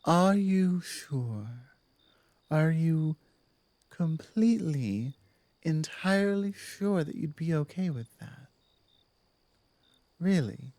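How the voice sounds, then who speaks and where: A woman voicing a dominant but softening werewolf pack leader in an intimate ASMR roleplay, speaking in measured, deliberate phrases with weighty pauses. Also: sits low, lively intonation, no room sound, exaggerated voice. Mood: probing, gentle. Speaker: audio roleplay performer.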